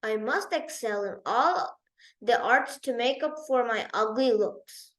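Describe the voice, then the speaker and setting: A woman reads as a self-conscious young storybook prince, brooding yet determined. The musing is earnest and slow, in a bright voice with a roomy echo. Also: fairly high pitch, pitched below the performer's own voice. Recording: roomy, echoey sound.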